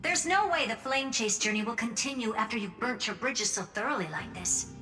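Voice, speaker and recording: An actress playing a scornful, sharp-tongued woman in a video game, blunt and mocking. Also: a little high, animated, fairly quick, soft background music.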